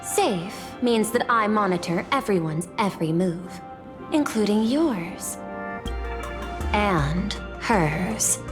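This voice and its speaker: Controlling female executive, formal, measured, authoritative, medium pitch, game dialogue, background music. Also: animated. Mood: commanding. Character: shrewd, controlling businesswoman, guarded and coolly authoritative. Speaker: video game voice actor. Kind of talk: video game story dialogue.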